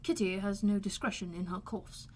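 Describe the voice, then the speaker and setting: A woman voicing a calm, sardonic middle-aged father in an audiobook, teasing in a measured, wordy, deadpan way. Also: medium pitch, a little hiss or hum, natural voice. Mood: dry. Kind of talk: audiobook character voice.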